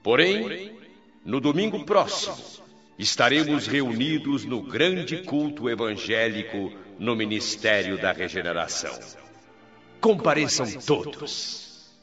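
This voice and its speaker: An actor playing a serene spiritual governor who addresses his people in grave, measured oratory, in a radio play. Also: mid-range voice, big pitch swings, a bit bright, faint music behind. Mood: solemn. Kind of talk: radio drama acting. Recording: dull lo-fi radio play audio.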